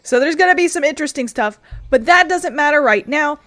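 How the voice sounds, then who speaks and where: A woman's voice: high voice, lively intonation, fairly quick, a bit bright, no echo. A woman streaming a game with casual, chatty let's-play commentary that rambles along loosely. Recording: faint game music behind voice.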